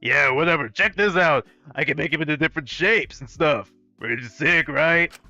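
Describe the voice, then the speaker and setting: A man's voice: fairly high pitch, moderate pace, vocal fry, pushed and bright, dry recording, highs cut off, soft background music, exaggerated voice. A man voicing a brash, snippy, foul-mouthed young character in acted story dialogue. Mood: brash, casual.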